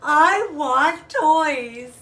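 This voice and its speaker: A woman's voice: sits high, lively intonation, drawn-out words, fairly bright tone, pitched above the performer's own voice, heavily acted. A woman voicing a stubborn, greedy little girl bunny in a storybook, whiny and emphatic. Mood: tearful outrage. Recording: roomy, distant mic, faint noise.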